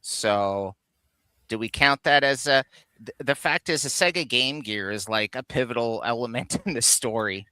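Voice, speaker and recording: Very bright, crisp-voiced man chatting. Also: medium pitch, normal speed, no room sound. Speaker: co-host of a live geek countdown show. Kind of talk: casual chat, enthusiastic explaining of film trivia.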